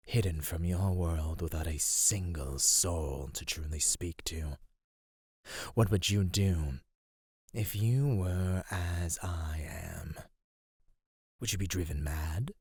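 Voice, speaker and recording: Man voicing an ancient deep-voiced predatory monster. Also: darkish tone, slightly rough, no echo, studio quality, full character voice. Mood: brooding, curious. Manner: measured, menacing, teasing, formal diction. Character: ancient male underground monster, patient teasing predator. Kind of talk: ASMR roleplay, slow intimate scripted acting.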